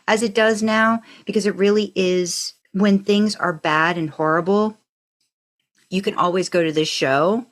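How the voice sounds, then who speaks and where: Female podcast co-host, lowish pitch, conversational storyteller, enthusiastic and discursive.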